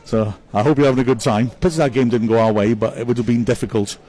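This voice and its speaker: A man's voice: medium pitch, steady tone, fast, dark tone. Chatty man commentating a match. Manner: chatty, upbeat, praises players one by one. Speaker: club commentator. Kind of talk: live match commentary reading viewer messages.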